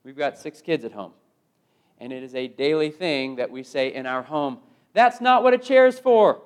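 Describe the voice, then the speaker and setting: A middle-aged American man delivers a sermon in a notably high-pitched voice. He is emphatic, leaning on repetition and rhetorical phrasing, and shifts between forceful proclamation and quieter, hushed phrases. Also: lively intonation, normal speed, clear, resonant tone. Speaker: preacher.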